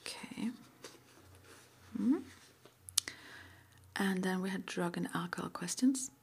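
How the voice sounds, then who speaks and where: A woman whispering gently and hesitantly in an ASMR roleplay, as an interviewer asking intake questions in a breathy voice. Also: lowish voice, takes it slowly. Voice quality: breathy whisper with some creak. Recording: faint background noise.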